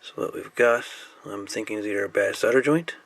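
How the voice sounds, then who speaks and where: A man's voice: on the low side, a little fast, fairly bright tone. A man casually talking through a hands-on repair at the bench, folksy and thinking aloud. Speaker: hobbyist radio repairman presenting a repair.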